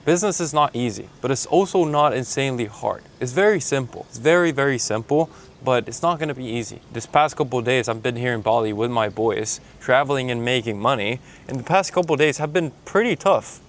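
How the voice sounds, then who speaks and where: A man's voice: medium pitch, a little fast. A young man with a Mexican Spanish accent and Spanish as his first language, giving a blunt, motivational talk to his camera while traveling. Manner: blunt, pep-talk delivery, calling the listener man and bro. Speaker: sales coach. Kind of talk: motivational vlog monologue. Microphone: handheld camera filming himself.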